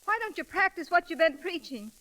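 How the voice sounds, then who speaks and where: High, bright, bossy townswoman. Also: animated, fairly quick, full character voice. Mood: scolding. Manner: blunt, scolding, emotional. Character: outspoken, fussing small-town widow, bossy and anxious. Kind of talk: 1930s-40s radio comedy acting. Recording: old radio broadcast with sizzling crackle.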